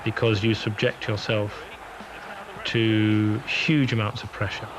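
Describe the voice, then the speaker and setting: A man with a posh English accent, a football referee speaking in a measured, reflective way in a documentary interview. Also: fairly low pitch, little pitch movement, background noise. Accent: posh southern English. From England.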